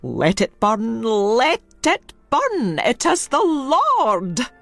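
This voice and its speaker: A woman voicing a sharp-tongued, zealous Scottish wife in a dramatised story, exclaiming in dialect. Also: a little high, huge pitch swings, extremely slow, vocal fry, no echo, voice pushed up, exaggerated voice. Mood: ecstatic, shouting. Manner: scolding, exclamatory, dialect speech.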